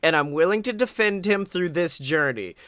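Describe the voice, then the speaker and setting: A man's voice: a little high, lively intonation, moderate pace, fairly bright tone. A man in his twenties with an American accent, joking and teasing as he chats as a podcast co-host. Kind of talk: casual podcast banter about wrestling. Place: home room, indoors.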